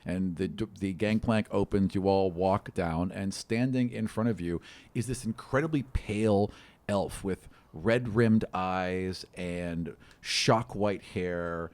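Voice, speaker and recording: A man's voice: low-pitched, slow, a little mellow. A man narrating a tabletop game as game master, improvising in a conversational, enthusiastic way.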